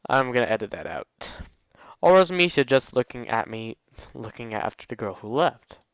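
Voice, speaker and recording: A man's voice: medium pitch, very animated, normal speed, a bit bright, clear, resonant tone, dry recording, muffled recording. A man reading a visual novel aloud casually, dropping in jokey commentary as a let's play host. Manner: jokey asides while reading.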